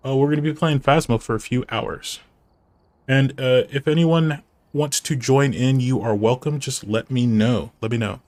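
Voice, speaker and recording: A man's voice: mid-range voice, normal speed, darkish tone. A man with a nasal voice streaming live, chatting casually and rambling to himself and his chat while he sets up.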